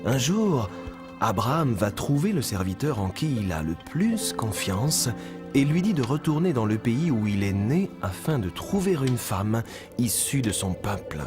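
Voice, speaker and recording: A man playing a kindly storytelling mentor in a children's cartoon dub, with measured, instructive delivery. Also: medium pitch. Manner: measured, expressive, instructive narration. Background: music behind the voice.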